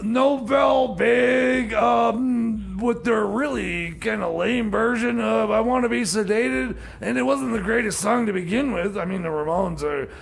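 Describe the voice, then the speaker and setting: A man playing a flustered, cranky radio DJ in comedy radio theater, stammering and grumbling with loud strained outbursts. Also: measured pace, hardly any pauses, exaggerated voice. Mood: grudging, irritable. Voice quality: high, strained, creaky. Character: cranky, flustered male radio DJ and time traveler. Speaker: radio show host.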